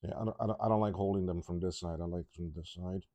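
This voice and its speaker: A man talking casually through a soldering job at his workbench, halting and rambling, his voice a little congested from a cough. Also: sits low, steady tone, dark tone, no echo, muffled recording. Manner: rambling, halting, with many restarts and ums. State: congested, slightly rough from a cough. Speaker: electronics repair hobbyist.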